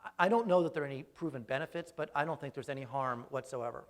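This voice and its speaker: A man's voice: mid-range voice, fast. A man who grew up speaking Greek first, a researcher answering audience questions after a talk in a thoughtful, hesitant way with frequent restarts. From raised speaking Greek first. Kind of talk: live Q&A after a lecture.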